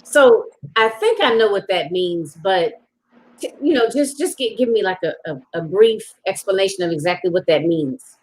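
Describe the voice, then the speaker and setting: A cheerful woman hosts an online talk show. She welcomes viewers with bright enthusiasm and quizzes her guest in a chatty, easygoing way. Her voice sits at an ordinary middle pitch. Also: animated, moderate pace, low-quality recording. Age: older than guest.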